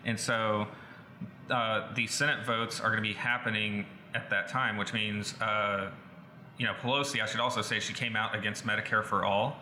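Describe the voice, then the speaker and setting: Guy doing news updates, lowish sharp voice, halting, echoey room. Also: fairly even intonation. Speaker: podcast co-host giving news updates. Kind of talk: casual news rundown. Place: indoor studio under renovation. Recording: echoey room, faint background noise.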